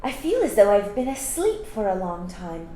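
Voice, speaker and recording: A woman voicing a gentle teenage fairy-tale princess in a wondering, girlish way, in an echoey room. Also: mid-range voice, sing-song, normal speed, lightly acted. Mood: sleepy, puzzled. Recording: echoey room with faint background noise.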